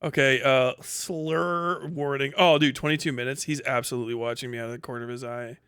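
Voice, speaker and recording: A man's voice: medium pitch, fairly even intonation, fairly quick, fairly bright tone, clean tone, no echo, clean studio sound. A man hosting a casual livestream, commenting and reading posts aloud in a slangy, sweary, mocking way. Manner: often breaks into laughter. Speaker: livestream host.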